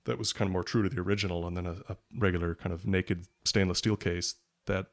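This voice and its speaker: Low, creaky-voiced man chatting casually. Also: steady tone, fast. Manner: rambling, hesitant, thinking aloud. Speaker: podcast guest, writer and product journalist. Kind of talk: casual conversational interview chat.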